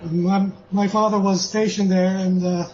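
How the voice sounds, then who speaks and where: A man being interviewed as a guest author, speaking in a measured, hesitant way with many pauses. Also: high voice, level tone, darkish tone, dull audio, a little hiss or hum. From born in Austria, lives in the US. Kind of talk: interview answers.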